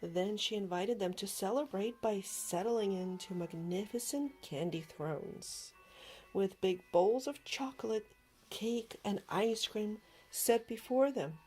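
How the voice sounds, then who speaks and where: A woman narrating a children's storybook aloud with expressive, dramatic emphasis, over music. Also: mid-range voice, takes it slowly, breathy edge. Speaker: storybook reader. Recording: music behind the voice.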